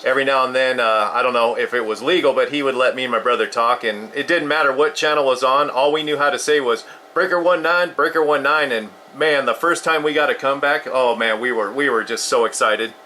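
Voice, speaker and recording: A man's voice: mid-range voice, brisk, hardly any pauses, sharp tone, a little hiss or hum. A man in his fifties chatting casually as a CB radio operator. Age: 50s.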